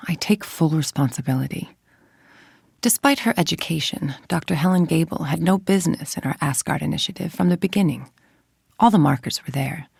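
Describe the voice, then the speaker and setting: An actress voicing a cold, calculating middle-aged villain scientist in a video game, with a clipped, controlled, authoritative and menacing delivery. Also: female voice, low voice, animated, fairly quick, creaky, a little mellow, husky, dry recording, clean studio sound, full character voice. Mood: cold, measured.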